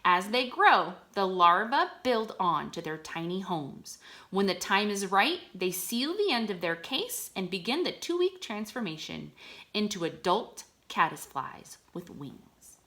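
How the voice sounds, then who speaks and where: A woman reading aloud to children like a teacher, enunciating deliberately and explaining words simply as she goes. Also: mid-range voice, big pitch swings, bright, forceful tone.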